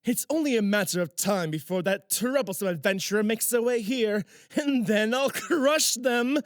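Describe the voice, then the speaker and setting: A man's voice: high-pitched, normal speed, dry recording, studio quality, natural voice. A man playing a pompous, menacing noble sorcerer villain in a fantasy audio drama, gloating and taunting theatrically.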